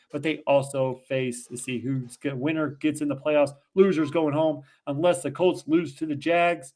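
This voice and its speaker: Man, Boston accent, co-host, casual sports chat, confident picks. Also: a little high. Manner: confident, rattles off predictions.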